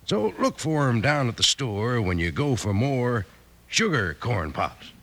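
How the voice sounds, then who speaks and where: Animated, jolly cowboy pitchman. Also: unhurried, full character voice. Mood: jolly, enthusiastic. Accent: American. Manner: exuberant, folksy. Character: jolly, folksy male cowboy cereal pitchman. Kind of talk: 1950s radio advertisement.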